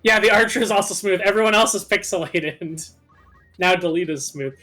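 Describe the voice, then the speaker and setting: High-pitched man chatting over game music. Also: moderate pace, pushed and bright. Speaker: gaming video co-commentator. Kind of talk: casual banter over a game session.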